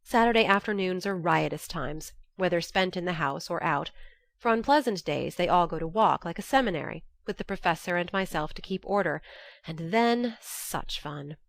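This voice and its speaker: A woman's voice: on the low side, animated, normal speed, creaky, dry recording. A woman narrating an audiobook, reading a classic novel's first-person letters as scripted narration.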